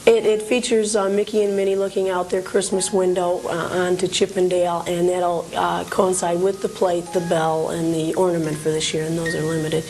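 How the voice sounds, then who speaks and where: A woman's voice: fairly low pitch, level tone, moderate pace, few pauses, a little mellow, poor audio. A woman speaking casually and conversationally as an interview guest. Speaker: interview guest, memorabilia collector. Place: public library.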